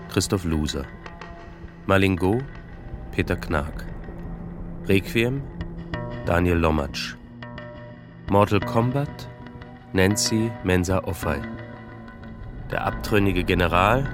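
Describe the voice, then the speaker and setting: A man reading scripted radio play credits as an announcer. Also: deep voice.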